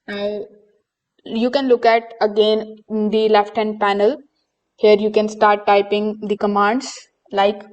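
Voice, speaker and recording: Female tutorial narrator, flat and mellow delivery, patient step-by-step explanation. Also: mid-range voice, rough recording. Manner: steady explanatory instruction with occasional fillers. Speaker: software tutorial presenter. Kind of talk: screen-recorded software walkthrough.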